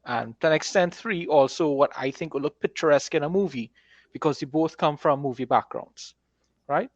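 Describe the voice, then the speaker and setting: A man speaking as an author and podcast co-host in a conversational, measured, explanatory way. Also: a little high, no room sound. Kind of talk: conversational interview discussion. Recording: dry, slightly dull microphone sound.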